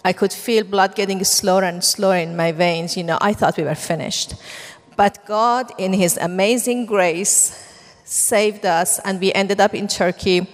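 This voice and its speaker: A woman's voice: mid-range voice, unhurried. A middle-aged woman from Iran with a Persian accent, earnestly telling her life story to an audience, with plenty of fillers. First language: Farsi. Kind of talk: personal testimony talk. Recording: long reverb of a hall.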